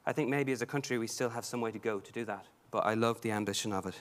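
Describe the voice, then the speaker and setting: A man's voice: lowish voice, quick pace. A man with a Dublin Irish accent, giving an earnest prepared talk at a conference, with frequent ums and restarts. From Ireland. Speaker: conference speaker. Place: large conference hall.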